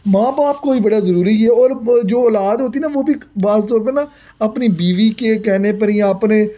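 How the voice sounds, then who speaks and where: A man's voice: sits high, normal speed, dark tone, highs cut off. A man hosting a family vlog, chatting and moralizing while someone cooks outdoors. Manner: chatty, moralizing, repeats the other speaker's words. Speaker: vlog host. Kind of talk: casual chat while filming cooking.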